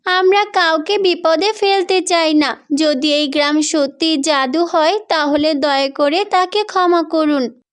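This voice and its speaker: A woman's voice: extremely high pitch, steady tone, takes it slowly. A woman voicing a sensible, kind-hearted young village girl in a cartoon fairy tale, speaking earnestly. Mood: pleading.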